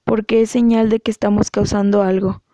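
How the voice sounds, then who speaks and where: A woman with a Mexican accent giving an earnest, measured testimony as a guest speaker. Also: medium pitch, flat delivery, warm tone, dry recording. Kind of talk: prepared testimony.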